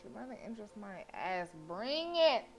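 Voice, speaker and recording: A woman's voice: a little high, sing-song, unhurried. A woman live streamer reading a visual novel aloud expressively, breaking in with slangy, casual interjections. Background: game music.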